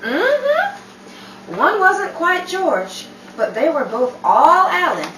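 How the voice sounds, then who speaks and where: Woman acting teasing 1940s comedy sidekick, sardonic drawl, high lively voice, roomy noisy recording. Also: extremely slow, heavily acted. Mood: amazed, teasing. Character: wry, teasing woman friend of a house seller. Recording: roomy, distant mic, clear background noise.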